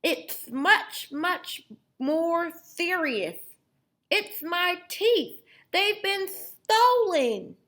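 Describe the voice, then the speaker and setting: A woman putting on a toothless, shrill old grandpa. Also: animated, drawn-out words, full character voice. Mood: lamenting. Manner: gummy lisping speech, drawn out and shouted.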